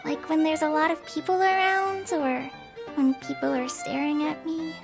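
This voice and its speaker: Timid, high-pitched young girl. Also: steady tone, normal speed, creaky, music in the background. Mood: timid, anxious. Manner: timid, earnest, hesitant. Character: shy, anxious young girl healer, soft-hearted. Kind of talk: video game voice acting.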